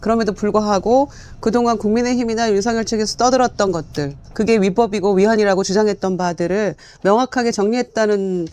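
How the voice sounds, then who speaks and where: A woman lawmaker on a panel, explaining a court ruling in a measured way. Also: mid-range voice, a little mellow. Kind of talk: political commentary.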